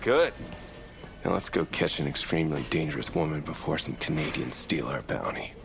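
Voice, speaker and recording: An actor playing a wry, skeptical amateur bounty hunter with dry sarcasm, in a naturalistic comedic audio drama. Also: male voice, extremely low pitch, moderate pace, vocal fry, a bit bright, soft background music, exaggerated voice. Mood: sardonic.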